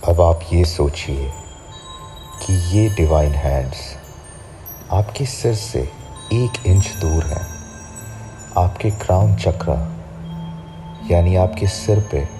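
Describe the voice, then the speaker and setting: A man with a very deep voice leads a sleep hypnosis, drawing out each phrase at a slow, soothing pace. Clear music plays behind him throughout. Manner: slow, soft, soothing guidance. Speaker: hypnotherapist guiding a sleep session.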